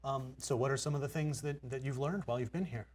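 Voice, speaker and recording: A man's voice: medium pitch, fast talker, a little mellow. A man hosting a studio interview on public television, polite and welcoming. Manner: polite, friendly. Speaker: TV host.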